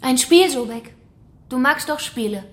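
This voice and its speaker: A woman's voice: high voice, lively intonation, a little slow, a bit bright. An actress playing a menacing female kidnapper in a radio crime drama, taunting her captive in a controlled way. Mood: mocking. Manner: taunting, controlled, familiar address.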